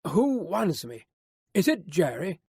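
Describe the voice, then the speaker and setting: Guy doing a mild anxious gentleman, bit quavery, polite, slightly high, storybook. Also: expressive, a little slow, husky, no room sound, studio quality, full character voice. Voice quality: mildly quavering. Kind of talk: dramatised audiobook reading.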